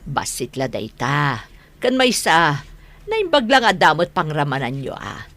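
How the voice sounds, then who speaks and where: Bright, insistent Ilocano wife. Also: female voice, low-pitched, big pitch swings, a little slow, no room sound, full character voice. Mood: friendly, generous. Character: hard-working, exasperated wife and mother. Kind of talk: radio drama acting.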